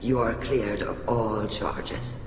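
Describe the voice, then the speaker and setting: Formal ceremonious alien admiral running a tribunal, video game, big echoey hall. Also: male voice, fairly low pitch, fairly even intonation, takes it slowly, a little hiss or hum. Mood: solemn, formal. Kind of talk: dramatic video game voice acting.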